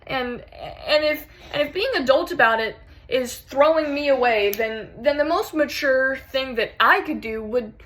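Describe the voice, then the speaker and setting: A woman's voice: sits high, a little slow, few pauses, fairly bright tone, rough recording. A woman acting a theatrical monologue as a rattled, unravelling jilted lover, stammering and repeating her words as she pleads. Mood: hurt, defiant.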